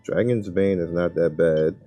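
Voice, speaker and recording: Casual male gamer, very deep voice and unhurried delivery, talking through his play in slangy asides. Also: mellow, soft background music. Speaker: gaming video creator. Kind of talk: casual gameplay commentary, thinking aloud.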